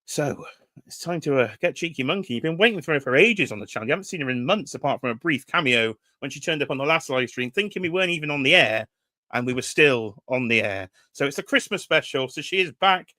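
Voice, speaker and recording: A man's voice: a little high, expressive, quick pace, pushed and bright, no echo. A British man hosting a toy-collecting livestream, casually chatting and teasing while greeting viewers from the chat. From United Kingdom. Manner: chatty, teasing, reads chat names aloud. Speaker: livestream host.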